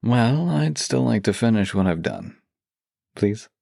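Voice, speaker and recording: A man with a deep-leaning voice, husky and full of fry, hosts an intimate audio show. He talks straight to the listener in a theatrical, playful way. The sound is close and dry. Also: expressive, a little slow, a little mellow, no room sound. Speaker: voice actor hosting an audio series. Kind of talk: scripted, intimate introduction to listeners.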